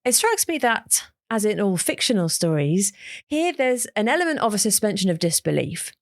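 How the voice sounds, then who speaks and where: Podcast narrator, female, lively and varied intonation, recorded dry. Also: mid-range voice, moderate pace, no echo. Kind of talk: scripted narration.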